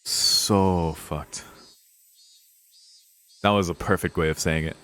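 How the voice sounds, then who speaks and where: A man's voice: deep voice, steady tone, slow, bright, forceful tone. A man giving casual, foul-mouthed, emotionally invested commentary on a visual novel as he plays it and reads it aloud. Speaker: streamer playing and reading a visual novel. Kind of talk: casual let's play commentary mixed with reading game text aloud.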